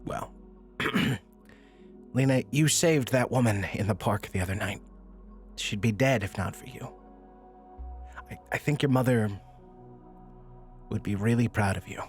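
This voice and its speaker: An actor playing a kind, earnest social worker in an audio drama, speaking gently and hesitantly with reassurance. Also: male voice, lowish voice, unhurried, long pauses, faint music behind, natural voice. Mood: gently consoling. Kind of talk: naturalistic audio drama dialogue.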